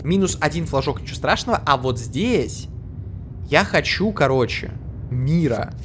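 A man's voice: on the high side, animated, normal speed, fairly bright tone, slight background noise. Slangy man reading game text. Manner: slangy, reads game text aloud, reacts loosely. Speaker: let's play host. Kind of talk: casual gameplay commentary. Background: game music.

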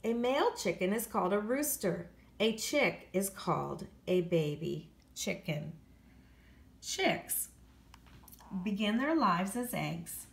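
A woman's voice: mid-range voice, big pitch swings, slow, creaky, echoey room. An American woman teacher reading aloud to children, explaining and rephrasing facts as she goes. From United States. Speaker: elementary school teacher. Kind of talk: read-aloud lesson for children.

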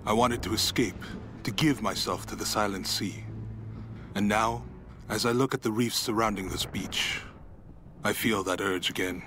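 Low, solemn haunted mystic. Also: male voice, moderate pace, bright, forceful tone, a little hiss or hum. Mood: haunted longing. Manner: brooding, poetic. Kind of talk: dramatic game voice acting, monologue.

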